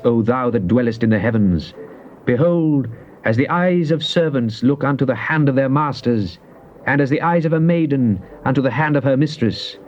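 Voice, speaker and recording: An actor playing a devout psalmist in a solemn, measured, theatrical Bible reading. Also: male voice, medium pitch, a little mellow, close to an everyday voice. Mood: pleading. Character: devout psalmist pleading and praising God. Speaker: scripture reader. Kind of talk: dramatized King James Bible reading. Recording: dull, noisy old cassette tape.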